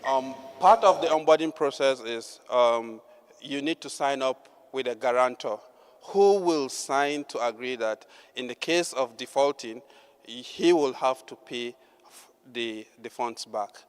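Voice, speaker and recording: A man presenting a startup, answering judges' questions in a measured way with many fillers. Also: mid-range voice, clean tone. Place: large hall. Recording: long hall reverb.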